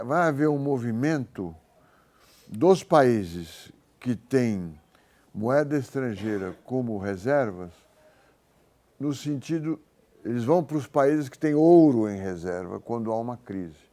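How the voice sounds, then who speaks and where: A man with a Brazilian accent giving a university economics lecture, informal and halting, thinking aloud and correcting himself as he works through examples. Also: medium pitch, lively intonation, warm tone. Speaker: economics professor.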